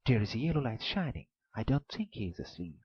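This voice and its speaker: A timid but clever young girl speaks up in a raised, pleading voice, as a man puts her on for a storybook reading. The tone is slightly husky. The sound is dull and dry. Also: big pitch swings, a little fast, dry recording. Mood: innocent. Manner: higher, pleading, innocent.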